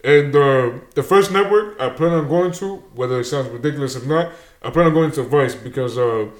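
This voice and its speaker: Fast-talking young man, echoey room. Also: medium pitch. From Dominican and Peruvian heritage, New Jersey. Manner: energetic, slangy, mixes Spanish words. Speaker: guest, show creator. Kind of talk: casual interview chat.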